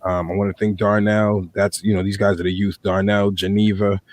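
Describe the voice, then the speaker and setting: A man with an American accent and a slightly hoarse voice, hosting a podcast in casual, reflective talk. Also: on the low side, little pitch movement, moderate pace. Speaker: podcast host.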